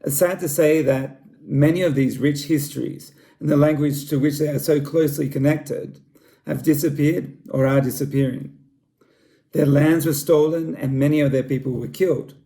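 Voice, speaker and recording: A middle-aged man with an Australian accent talks in a friendly, measured, explanatory way, as if presenting to students. His voice sits a little high for a man, but it has a gravelly edge and a mellow, dark tone. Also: fairly even intonation. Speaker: school library director. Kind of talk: scripted cultural presentation for students.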